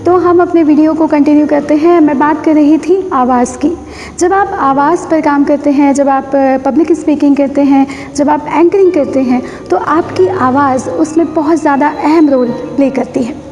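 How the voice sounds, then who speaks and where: A woman speaks in a high-pitched voice, presenting tips as an instructor. She explains step by step and restates key points for emphasis. The recording carries a long, echoing room reverb. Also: steady tone, moderate pace, darkish tone, slight background noise. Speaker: host of a public speaking tips channel. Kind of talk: instructional talk to camera.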